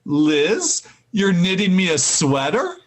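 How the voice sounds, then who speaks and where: A man's voice: high-pitched, very animated, slow, noisy background, heavily acted. A man playing a breezy, teasing, cheerful husband in 1940s radio comedy style. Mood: amused.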